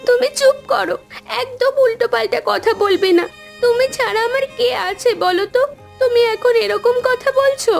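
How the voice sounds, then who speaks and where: A woman playing a devoted, anxious, soft-hearted young married daughter in a cartoon, with an emotional, pleading way of talking. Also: very high voice, moderate pace, gravelly, soft background music. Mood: upset. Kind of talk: Bengali cartoon voice acting.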